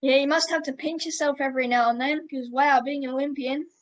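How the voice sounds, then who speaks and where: A woman's voice: sits high, steady tone, brisk. A woman hosting an interview podcast, upbeat and friendly, asking questions and calling her guest mate. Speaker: podcast host.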